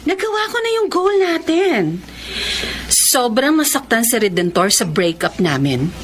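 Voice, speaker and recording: Conflicted young woman played by an actress, high and expressive. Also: a little slow, a little hiss or hum. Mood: gloating, uneasy. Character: conscience-torn young woman posing as her dead twin. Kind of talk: Filipino radio romance drama acting.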